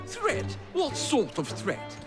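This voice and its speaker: Posh english old politician guy, pompous n outraged, voice all over, loud music. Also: extremely high pitch, huge pitch swings, slow pace, full character voice. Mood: indignant, alarmed. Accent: upper-class English. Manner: pompous, blustering. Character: blustering, indignant older Victorian Prime Minister. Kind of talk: video game cutscene acting.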